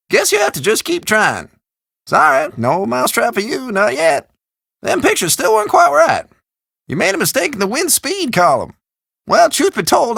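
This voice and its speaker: Game role: rambling country storekeeper, Southern drawl, bright and creaky, pitch swinging wildly. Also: male voice, no room sound, studio quality, full character voice. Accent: American Southern or South Central country. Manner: folksy, rambling, theatrical drawl. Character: folksy, chatty, forgetful small-town male shopkeeper. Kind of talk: video game voice acting.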